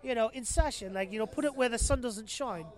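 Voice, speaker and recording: A man's voice: extremely high pitch, brisk, a little mellow. A man from the American Midwest speaking with an affected-sounding English accent, telling stories in a rambling, repetitive, playful casual monologue. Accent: put-on sounding English. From Milwaukee, Wisconsin, United States.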